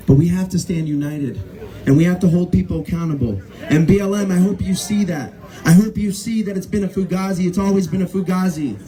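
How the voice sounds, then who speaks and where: A man emceeing a rally, speaking with mounting passion and building to loud climaxes. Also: high voice, rough recording. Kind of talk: rally speech and introduction. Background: crowd.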